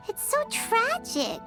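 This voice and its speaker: A small bubbly fairy sidekick from a video game. The voice is extremely high and childlike, and it swings up and down in an animated way. She chats earnestly, with music running underneath. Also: full character voice. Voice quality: squeaky, childlike. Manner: refers to self in third person.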